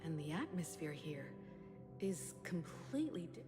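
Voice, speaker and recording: Low-pitched, composed young adventurer. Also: female voice, sing-song, unhurried. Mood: composed, observant. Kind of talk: scripted game dialogue. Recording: hall reverb with music behind.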